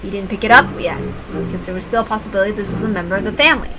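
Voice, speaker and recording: A woman's voice: medium pitch, very fast, music in the background, low-quality recording. A woman narrating a story aloud as a reader, expressively and with informal asides. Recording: clear background noise, like rain.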